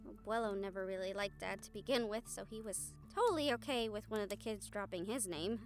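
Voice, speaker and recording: A woman's voice: mid-range voice, brisk, faint music behind, natural voice. A woman playing a wry, caring young woman friend in a fantasy audio roleplay, speaking casually and hesitantly, as if to one listener. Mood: candid, wry. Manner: casual, hesitant, chuckling, mildly sweary. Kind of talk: audio roleplay acting, intimate one-sided conversation with listener.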